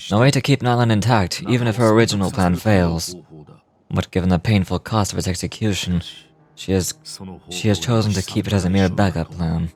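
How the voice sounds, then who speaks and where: An actor playing a calm, calculating military commander in a video game, speaking in a measured, formal, persuasive way. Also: male voice, low-pitched, lightly acted. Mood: ominous.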